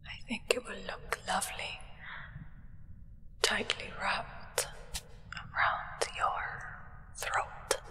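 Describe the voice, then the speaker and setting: Woman acting a menacing vampire acolyte, ASMR roleplay, hushed whisper, deliberate, solemn, long echoing reverb. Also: mid-range voice, steady tone. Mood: sinister, savoring.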